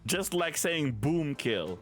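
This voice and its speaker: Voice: mid-range voice, sing-song, slow. A gaming streamer giving casual let's play commentary in an amused, wry, chatty way. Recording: faint game music behind.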